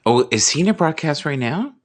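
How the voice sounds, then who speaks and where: A man's voice: fairly high pitch, big pitch swings, a little fast. A man hosting a casual live stream chat, joking around and acting out quotes in put-on voices. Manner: jokey, acts out quotes in silly voices.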